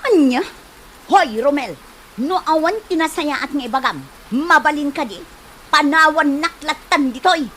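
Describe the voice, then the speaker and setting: A woman's voice: sits high, unhurried, fairly bright tone, slight background noise, poor audio. A woman acting a vain, irritable woman in an Ilocano comedy drama, with an Ilocano accent and a wailing, snappish way of complaining. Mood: irritated, threatening. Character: vain, irritable woman hurt falling in high heels.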